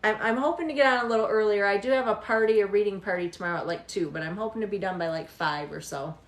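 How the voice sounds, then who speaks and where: A woman's voice: medium pitch, quick pace, echoey room. A tired woman in her forties reading tarot live for viewers, chatting casually, teasing them and often laughing. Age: 40s. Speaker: tarot reader hosting a livestream. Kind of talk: casual livestream chat with viewers.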